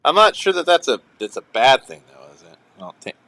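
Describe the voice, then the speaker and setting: A man's voice: medium pitch, very animated, fairly quick, creaky, bright, forceful tone, dry recording. A young man hosting a casual live music hangout stream, chatty and rambling, often chuckling and restarting sentences. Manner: rambling, chuckling, self-interrupting. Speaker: show host.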